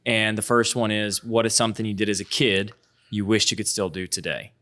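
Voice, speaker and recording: A man hosting a radio talk show who asks questions and finishes his guest's thoughts in a quick, affirming way. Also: fairly low pitch, steady tone, sharp tone. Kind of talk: casual radio interview.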